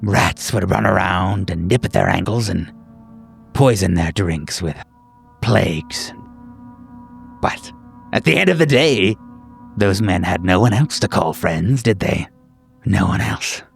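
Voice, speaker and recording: A man playing a sinister, whimsical doctor in a horror role-playing game, gravelly and husky, rambling haltingly with theatrical politeness. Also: deep voice, faint music behind, heavily acted. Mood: musing, menacing. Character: sinister, whimsical male doctor, musing and menacing. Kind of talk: improvised horror role-play.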